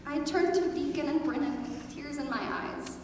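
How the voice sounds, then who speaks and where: Teen female theater student, high register, overstated comic storytelling, reverberant hall with faint noise. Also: normal speed, recorded from a distance. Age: teenager. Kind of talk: scripted personal storytelling monologue on stage. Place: large echoey indoor performance space. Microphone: distant camera microphone.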